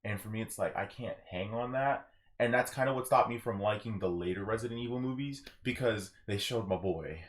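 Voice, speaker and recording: Male podcast host, fairly low voice, informal and conversational, guides the discussion. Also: a little fast. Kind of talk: casual chat.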